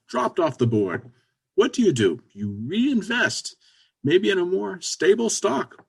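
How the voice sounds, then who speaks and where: A man's voice: sits high, vocal fry, slightly rough, heavily acted. A man playing a pragmatic, impatient but encouraging businessman friend in a stage comedy reading, with emphatic delivery. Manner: pushy, exasperated, emphatic. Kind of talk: stage comedy readers theater.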